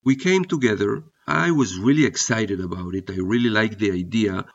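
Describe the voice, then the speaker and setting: A man's voice: fairly low pitch, gravelly, slightly rough. Reflective man with a foreign accent. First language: not English. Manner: reflective, measured, with hesitant fillers when unscripted. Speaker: podcast host. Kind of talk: scripted storytelling narration. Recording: muffled lo-fi recording.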